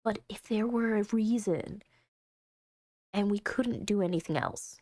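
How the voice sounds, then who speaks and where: A woman's voice: medium pitch, unhurried, warm tone, dry recording, lightly acted. A woman voicing a tender, worried young runaway woman in a visual novel. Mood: hesitant, hopeful.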